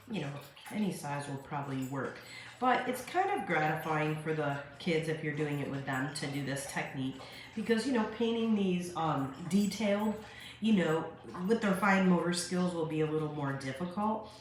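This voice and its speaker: A woman in her forties, a little stuffed up, chatting her way through a casual craft tutorial at her kitchen counter, full of fillers and asides. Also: low voice. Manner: chatty, filler-heavy, friendly how-to talk. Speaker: craft demo host. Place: home kitchen, indoors. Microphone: phone camera on a stand at the counter. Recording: echoey kitchen with faint ambient noise.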